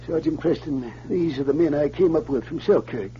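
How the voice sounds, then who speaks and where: A man acting a helpless, grateful injured miner in 1940s radio drama style, plaintive and halting. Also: a little high, natural voice. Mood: resigned, grateful. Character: injured, blinded gold miner, helpless but grateful uncle. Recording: muffled, hissy old radio recording.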